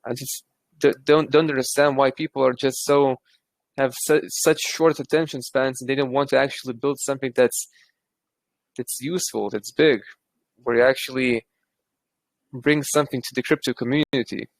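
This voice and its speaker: Lithuanian-accented man, gravelly, dry close mic, casual and thoughtful. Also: medium pitch, fairly even intonation, moderate pace, no room sound. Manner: thoughtful, restarts often. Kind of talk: casual chat.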